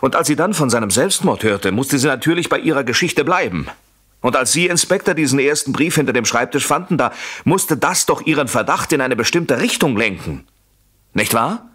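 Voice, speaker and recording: An actor playing a scheming, jealous husband who explains himself insistently and articulately to a police inspector in a German radio play. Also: male voice, mid-range voice, animated, brisk, a bit bright, slightly rough. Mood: calculated confession.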